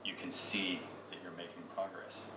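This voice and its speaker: A man's voice: deep voice, completely flat delivery, normal speed, sharp tone. An American man talking casually as a guest in a group conversation. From United States. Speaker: guest in discussion. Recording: echoey room, slightly noisy, distant mic.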